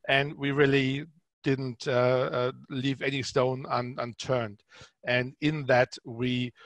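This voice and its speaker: A man's voice: mid-range voice, dry recording. Bavarian-accented man on a headset. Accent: Bavarian German. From Bavaria, Germany. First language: German. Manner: hesitant, many ums. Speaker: company co-founder and CEO. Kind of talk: panel discussion.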